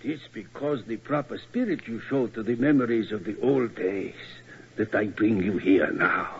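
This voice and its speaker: An actor playing a sinister, mad museum curator, speaking in slow, oddly ordered phrases, in 1940s radio acting. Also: male voice, lowish voice, gravelly, slightly rough, heavily acted. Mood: sinister courtesy. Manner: slow, halting foreign word order, cackling menace. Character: mad old German museum curator, sinister host turned gloating villain. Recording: dull old radio broadcast.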